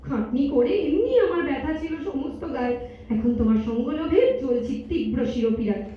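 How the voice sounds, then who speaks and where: A woman playing a sharp-tongued young woman in love, speaking rhymed verse in a teasing, theatrical sing-song for a stage drama. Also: sits high, lively intonation, a little fast. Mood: jealous, sarcastic. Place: large multipurpose hall, on stage. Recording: echoey hall, distant mic, dull sound.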